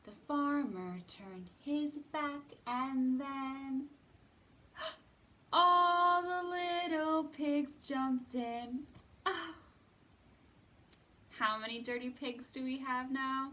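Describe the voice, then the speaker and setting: A woman hosting a children's storytime, reading and counting in an expressive, sing-song way with drawn-out words. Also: high-pitched. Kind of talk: expressive read-aloud and counting for young children. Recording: somewhat distant from the mic.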